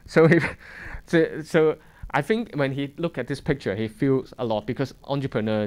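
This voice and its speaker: A man in his thirties from Hong Kong with a Hong Kong accent, giving an informal seminar talk as a fund manager, halting and restarting often with small chuckles. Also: medium pitch, clean tone. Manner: halting, many restarts and chuckles, plain conversational English. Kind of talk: informal lecture to students. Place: lecture room.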